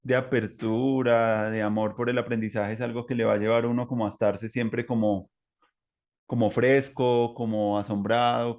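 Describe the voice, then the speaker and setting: Male podcast interviewer, Colombian accent, medium pitch, informal and rambling conversational delivery. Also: darkish tone. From Manizales, Colombia. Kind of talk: casual chat.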